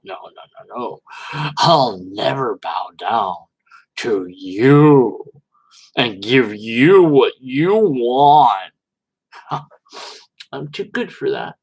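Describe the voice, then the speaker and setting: Man putting on a haughty, drawn-out self-righteous zealot. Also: mid-range voice, husky, full character voice, rough recording. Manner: pompous, drawn-out, condescending. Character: haughty, self-righteous believer withholding proof from pesky skeptics.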